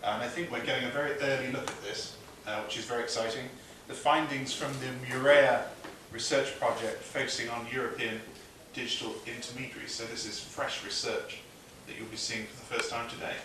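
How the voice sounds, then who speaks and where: A man acting as conference moderator, introducing a speaker in a measured, formal way. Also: mid-range voice, fairly even intonation, background noise. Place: large conference hall. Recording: echoey hall, mic far off.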